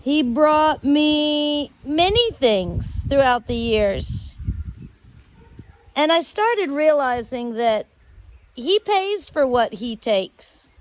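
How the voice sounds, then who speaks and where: A woman with an American accent and a high-pitched voice shares personal stories. She speaks very slowly, in halting phrases, and leaves long pauses as she rambles along. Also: animated, dry recording, rough recording. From United States. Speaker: storyteller host.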